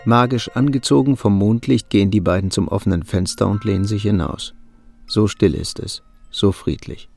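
A man narrating a German radio drama as a calm, observant storyteller with dry wit. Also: on the low side, moderate pace, creaky, soft background music. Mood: calm, quiet.

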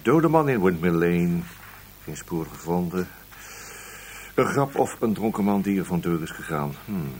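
An actor playing a wry, sarcastic, restless police detective in a radio drama, muttering hesitantly and breaking into exclamations. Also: male voice, sits low, sing-song, measured pace. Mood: sardonic. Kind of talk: Dutch radio drama acting. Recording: noisy old radio drama recording.

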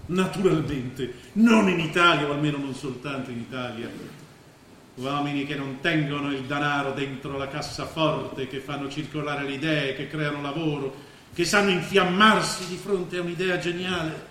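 A man's voice: a little high, steady tone, sharp tone. Grandiose scheming businessman, very echoey stage. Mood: grandiose, persuasive. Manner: declamatory, rhetorical, with weighted pauses. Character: grandiose, scheming businessman, former artist, persuasive and cynical. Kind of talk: theatrical stage drama acting. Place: indoor stage of a theatre. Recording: very echoey theatre stage, faint noise.

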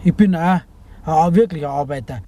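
A man talks casually about his views as a working driver. His voice sits high for a man, yet the tone is mellow and rounded. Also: fairly quick. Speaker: truck driver. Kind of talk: casual opinion in an original-sound radio collage.

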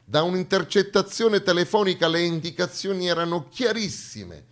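A man with a southern Italian accent delivering a satirical stage monologue in a dramatic, sarcastic, expressive storytelling manner. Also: high voice, normal speed.

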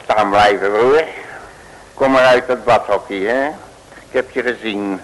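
A man playing a boastful, bumbling detective in an old radio play, chummy and quick to laugh. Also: fairly low pitch, close to an everyday voice. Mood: tough. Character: boastful bumbling male detective Piet Loeris. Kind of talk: 1950s radio play acting. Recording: old radio recording with faint hiss.

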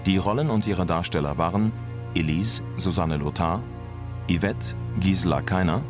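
Announcer: man with a low voice, formal credit reading for radio drama, music behind. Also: moderate pace. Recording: studio announcement over clear music.

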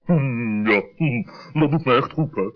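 Male actor as a solemn male owl, fatherly, fussy, humming hesitantly, mid-pitched, children's radio play, dull old recording. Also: lightly acted. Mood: concerned. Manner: measured, humming hesitantly, admonishing.

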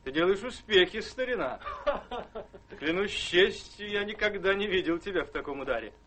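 An actor playing a carefree, charming young officer and rake in a radio drama, with a breezy, gallant, theatrical way of talking. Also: male voice, high voice, expressive, moderate pace, sharp tone, heavily acted. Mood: jovial, gallant.

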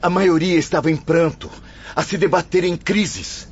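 An actor playing an anxious, gentle, deferential male nurse in a Brazilian radio drama. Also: a little high, steady tone, normal speed, husky. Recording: muffled radio drama with music.

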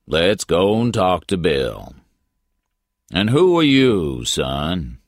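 A man voicing a big, shrewd, sad small-town policeman in an audiobook, speaking slowly and deliberately. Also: low-pitched, big pitch swings, no room sound, clean studio sound, exaggerated voice. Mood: calm, friendly. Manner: slow, deliberate. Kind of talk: audiobook character voice.

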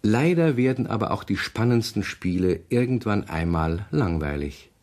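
A man with a husky voice, narrating a children's radio play as a storyteller. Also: on the low side, animated, normal speed, darkish tone.

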